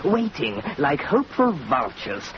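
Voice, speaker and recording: A man's voice: fairly high pitch, wildly swooping pitch, a little slow. A man playing a bitter, witty, tipsy family brother in 1940s radio acting, with a sardonic, slightly stammering theatrical manner. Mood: tipsy bitterness. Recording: muffled, noisy old radio recording.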